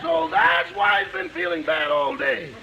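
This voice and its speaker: A devoted, wisecracking manservant in vintage radio comedy. The gravelly voice sits very high and swings in pitch, on a badly noisy old recording. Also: male voice, very animated, unhurried, over a noisy crowd, heavily acted. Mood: bustling, excitable. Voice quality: gravelly, raspy. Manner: emphatic, wisecracking. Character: devoted, cheeky male household servant. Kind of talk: 1950s radio comedy acting.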